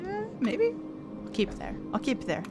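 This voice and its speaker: A woman's voice: sits high, huge pitch swings, normal speed. A woman streamer playing a game, thinking aloud and reading its text to viewers in casual commentary. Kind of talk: let's play commentary. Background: game music.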